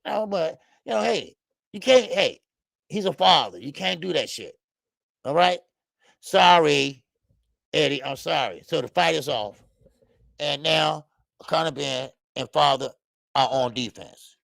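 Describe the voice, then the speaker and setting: A man in his fifties hosting a live boxing talk stream, loud and profane, rambling and greeting viewers by name. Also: a little high, expressive, measured pace, gravelly, pauses often, no echo, rough recording. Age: 50s. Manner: frequent laughs and stretches of filler. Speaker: boxing show host. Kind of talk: live-stream commentary chat.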